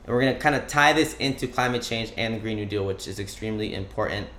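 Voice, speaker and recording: A man's voice: fairly low pitch, fast, pushed and bright. A man hosting a weekly live show, talking in an upbeat, enthusiastic and playful way. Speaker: host. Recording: echoey room.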